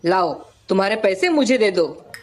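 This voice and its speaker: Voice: medium pitch, animated, moderate pace, a little hiss or hum, pitched below the performer's own voice, natural voice. A performer voicing a naughty, boastful schoolboy in a children's cartoon story, cocky in manner. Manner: taunting, cocky.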